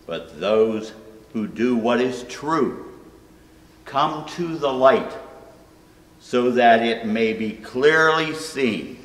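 An older man reading scripture solemnly and deliberately, phrase by phrase, recorded in a church room. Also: medium pitch, animated, fairly bright tone. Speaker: scripture reader. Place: indoor church room at a pulpit. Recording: echoey hall, long reverb, distant mic.

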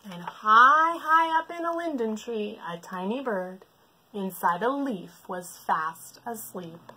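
Animated, unhurried woman reading a picture book. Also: a little high, slight background noise, rough recording. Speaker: library storytime reader. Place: book-lined room at home, indoors.

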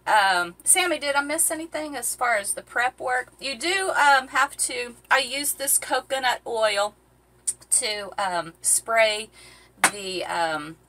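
A middle-aged woman talks her way through a cooking session in a cramped boat galley, loose and rambling, full of ums and little laughs. Her voice is bright and clear, her pace slow and unhurried. She is picked up by a camera set a few feet away. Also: fairly high pitch, slight background noise. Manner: chatty, rambling, many ums and uhs, laughs easily. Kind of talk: casual how-to chat. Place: small boat cabin with galley, indoors. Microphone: fixed camera a few feet away.

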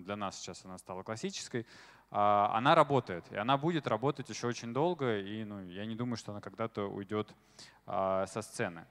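A man's voice: medium pitch, fairly bright tone. Man speaking on stage in a reverberant hall. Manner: conversational, filler-heavy, asks the audience questions. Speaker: conference speaker. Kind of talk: live stage talk to an audience. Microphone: handheld microphone.

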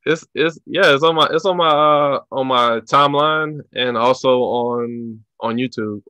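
A man in his thirties hosting a casual video-call interview from a small home room, talking haltingly and repeating words. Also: medium pitch, pushed and bright, no room sound, highs cut off. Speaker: podcast host. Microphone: headset on a video call.

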